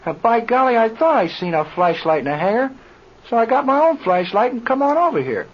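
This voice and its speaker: Folksy, grumbling old-radio airfield mechanic. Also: male voice, very high-pitched, very animated, a little fast, exaggerated voice. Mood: realizing, explaining. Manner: drawling colloquial slang, grumbling, comically exasperated. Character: folksy, indignant, slow-moving male chief airfield mechanic. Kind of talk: 1930s radio serial acting. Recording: muffled, noisy old radio recording.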